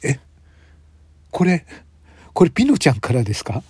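A man's voice: mid-range voice, huge pitch swings, normal speed, dark tone, slightly rough, no room sound, pitched above the performer's own voice, heavily acted. A man playing a polite, earnest young suspect in a radio drama, devoted and unrepentant. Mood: eager surprise.

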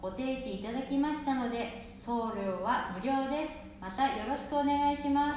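A woman's voice: sits high, moderate pace, a little mellow, close to an everyday voice. A woman playing a polite, efficient delivery worker in an amateur radio drama. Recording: very echoey room, faint noise.

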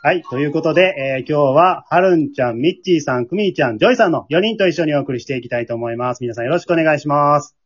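A man's voice: on the high side, quick pace, hardly any pauses, highs cut off. A man with a Kansai Japanese accent hosting a casual radio show in a polite, playful way. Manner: polite, playful, often laughing. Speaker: host and amateur drama troupe leader.

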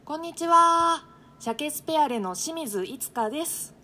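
A woman's voice: high-pitched, animated, normal speed, over music. A woman hosting a radio show in a polite, chatty, casual way. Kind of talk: casual co-host chat.

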